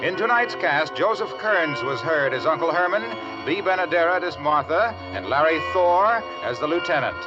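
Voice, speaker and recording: A man's voice: fairly high pitch, very animated, moderate pace, hardly any pauses. A man announcing on 1950s radio, reading sponsor messages and credits in a polished, emphatic way. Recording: dull vintage radio broadcast with music.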